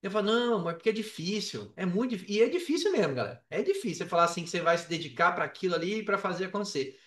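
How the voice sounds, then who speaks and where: A man's voice: high-pitched, expressive, rapid-fire, a bit bright. A Brazilian man telling personal stories casually as a podcast guest, often repeating words and checking in with the listener. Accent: Brazilian Portuguese. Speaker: podcast guest, affiliate marketer. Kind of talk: casual personal storytelling in an interview. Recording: echoey room, distant from the mic.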